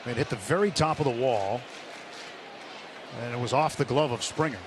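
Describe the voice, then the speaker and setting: A man giving relaxed radio color commentary on a baseball game, explaining with casual fillers. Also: mid-range voice, normal speed. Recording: radio broadcast with loud ballpark noise.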